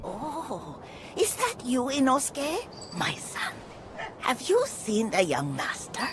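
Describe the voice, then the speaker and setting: A woman voicing a frail, senile old mother who speaks softly and dotingly, in game audio with ambient noise. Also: high-pitched, huge pitch swings, slow, exaggerated voice. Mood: doting, confused. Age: older. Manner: soft, wandering, doting.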